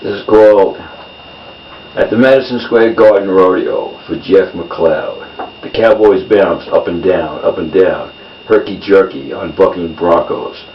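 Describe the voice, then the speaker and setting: A man reading his own poems in a measured delivery, in short phrases. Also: low-pitched. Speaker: poet. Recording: roomy, distant mic, dull sound, faint noise.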